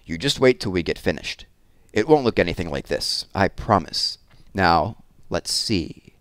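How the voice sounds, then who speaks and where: Storybook male hairdresser acted by a man: suave, patronizing, theatrical, animated intonation, dry close sound. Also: sits low, a little slow, smooth, no echo. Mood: consoling.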